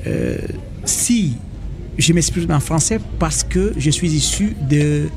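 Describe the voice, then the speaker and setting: A man from Cameroon, an association representative, speaking earnestly and haltingly in a radio interview at an association fair. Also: a little high, lively intonation, music in the background. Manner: hesitant, earnest, many pauses.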